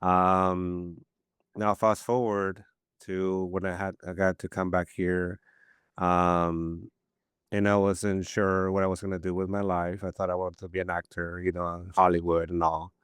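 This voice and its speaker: Deep smooth-voiced man. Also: measured pace, dry recording, studio quality. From Peru. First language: Spanish. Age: 50s. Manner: rambling, animated storyteller with many restarts. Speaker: poet, podcast guest. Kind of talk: casual storytelling interview.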